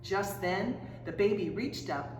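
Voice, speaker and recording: Animated woman reading in an echoey space. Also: mid-range voice. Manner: expressive, dramatic, drawn-out emphasis on key phrases. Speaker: children's storybook reader. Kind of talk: animated read-aloud storytelling. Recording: roomy echoing hall, distant mic, faint noise.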